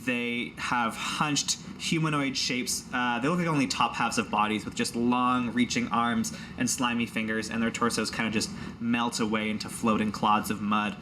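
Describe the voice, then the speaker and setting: A man's voice: mid-range voice, level tone, moderate pace, hardly any pauses, noisy background. A man running a tabletop fantasy game, narrating the action with casual, playful, vivid description. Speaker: game master.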